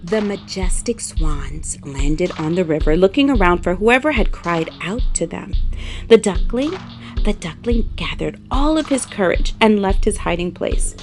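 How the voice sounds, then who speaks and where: A woman tells a children's story in a dramatic, emphatic read-aloud style. Her pitch moves widely and her pace is unhurried. Soft music plays behind the narration. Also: expressive.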